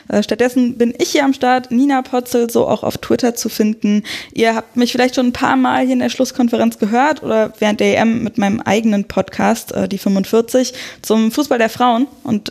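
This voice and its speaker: A woman's voice: fairly high pitch, vocal fry, a little mellow. A woman from Berlin hosting a football podcast, chatty and loose, correcting herself as she talks. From Berlin, Germany. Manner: chatty, with fillers and self-corrections. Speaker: podcast host.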